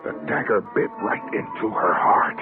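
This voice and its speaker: A man's voice: normal speed, natural voice. An actor playing a hard-boiled, haunted man narrating and living a murder plot in a terse, sardonic 1940s radio thriller style. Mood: frantic. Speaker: actor narrating as lead character. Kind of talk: 1940s radio thriller acting, first-person narration and dialogue. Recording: tinny old radio recording, loud music behind.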